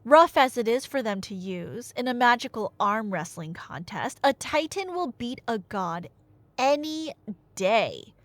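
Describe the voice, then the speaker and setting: Animated, high-voiced nerdy girlfriend played by a woman. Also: a little slow, no echo. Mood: enthusiastic, nerdy. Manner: animated, colloquial, emphatic. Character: enthusiastic nerdy girlfriend explaining Greek myths. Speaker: audio roleplay performer. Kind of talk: casual storytelling ramble.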